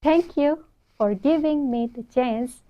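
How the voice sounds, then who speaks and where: A woman gives a spoken English lesson in a fairly high voice. She speaks very slowly, breaking model sentences into deliberate phrases for learners to repeat. The recording sounds a little dull and lo-fi. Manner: deliberate, phrase-by-phrase instructional delivery. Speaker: English teacher.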